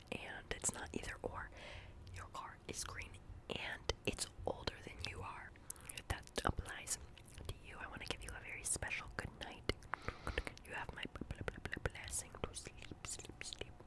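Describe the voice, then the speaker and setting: This is a woman hosting an ASMR guessing game. She speaks almost entirely in a breathy whisper with hardly any voicing. She often repeats words and breaks syllables into little stuttered echoes for effect. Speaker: ASMR host.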